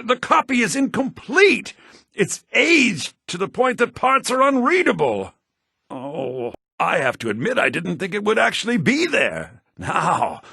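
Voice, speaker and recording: An actor playing a scholarly, theatrical headmaster of a bards' college in a fantasy video game, speaking in a formal, declamatory manner. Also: male voice, sits high, creaky, fairly bright tone, slightly rough, no room sound, studio quality, full character voice. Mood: dismayed. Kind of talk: fantasy video game character dialogue, with verse recitation.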